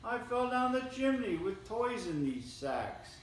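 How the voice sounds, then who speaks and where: A man's voice: very high-pitched, big pitch swings, measured pace, a little hiss or hum, voice pushed up, exaggerated voice. A man voicing a jolly old Santa Claus in a storybook poem, heartily. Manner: hearty rhyming quotes within a read poem. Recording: roomy, distant mic, faint ambience.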